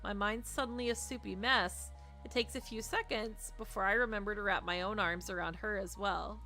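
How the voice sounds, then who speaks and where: Bright-voiced woman reading a story aloud. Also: medium pitch, moderate pace. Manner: expressive, teasing asides. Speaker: streamer. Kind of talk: live visual novel read-aloud with playful asides. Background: music.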